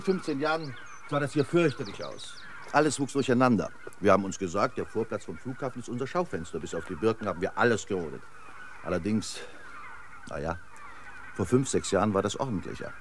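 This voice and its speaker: An actor playing a chatty, eccentric local guide in a decayed city, rambling and effusively hospitable in a radio drama. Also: male voice, on the low side, animated, fairly quick, slight background noise, close to an everyday voice. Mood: boastful, amused. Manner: effusive, rambling, quirky hospitality, chuckles to himself. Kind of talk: German radio drama acting.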